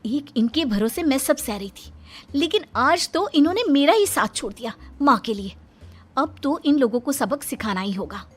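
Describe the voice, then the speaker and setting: A woman voicing a put-upon, exasperated young daughter-in-law in an animated moral story, with a pleading, long-suffering manner. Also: high voice, normal speed, soft background music. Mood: resentful.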